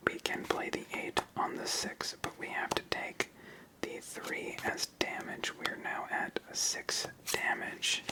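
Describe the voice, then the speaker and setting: A host whispering ASMR commentary while playing a solo card game, explaining each move step by step in an unvoiced whisper. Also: rough recording. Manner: slow, step-by-step narration of moves.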